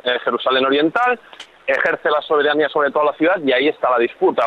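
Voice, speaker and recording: A man from Catalonia with a Spain Spanish accent, a freelance journalist calmly explaining events as a radio interview guest, pausing often to search for words. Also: mid-range voice, highs cut off. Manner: measured, explanatory, frequent hesitations.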